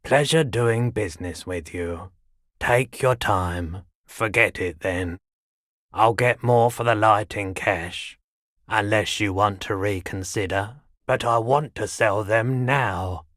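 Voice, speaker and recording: An actor playing a laid-back, eccentric male pawnshop keeper in a video game, deadpan and unhurried, with drawn-out phrasing. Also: lowish voice, no echo, studio quality. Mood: businesslike, easygoing. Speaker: shopkeeper character. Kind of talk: video game character dialogue.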